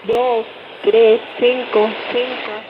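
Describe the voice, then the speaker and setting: A woman reading out digits in a deliberate monotone over a shortwave radio broadcast. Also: on the high side. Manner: monotone, deliberate, spaced-out delivery. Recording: muffled shortwave radio under heavy static.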